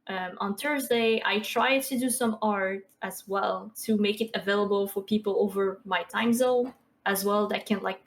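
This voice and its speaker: A woman in her twenties with a Québécois French accent, a guest on a podcast, chatting casually with many fillers and soft laughs. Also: mid-range voice. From Quebec. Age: 20s. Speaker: podcast guest, streamer and artist. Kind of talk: casual interview chat.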